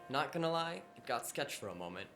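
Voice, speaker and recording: A man's voice: medium pitch, sing-song, brisk, sharp tone, faint music behind. A man playing a flippant, sarcastic, cocky hacker in a cyberpunk audio drama. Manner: wisecracking, casual, sarcastic.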